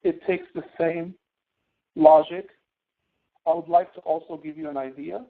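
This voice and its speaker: Male tutorial instructor, slightly high-pitched, informal and halting, heard through narrow phone-like audio. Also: fairly even intonation, gravelly. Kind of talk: spreadsheet tutorial, live online lesson.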